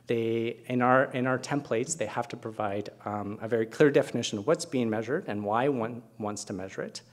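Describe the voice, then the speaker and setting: A man's voice: medium pitch, moderate pace. A middle-aged man speaking as a keynote presenter at an academic conference, explaining things with conversational asides. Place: lecture hall at a podium, indoors.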